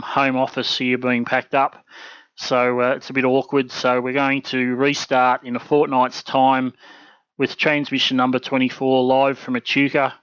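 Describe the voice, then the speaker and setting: A man's voice: medium pitch, clear, resonant tone, no echo, lo-fi sound. A man hosting a community web stream from his home office, talking casually with plenty of ums. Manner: relaxed, rambling, many ums. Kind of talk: casual to-camera announcement.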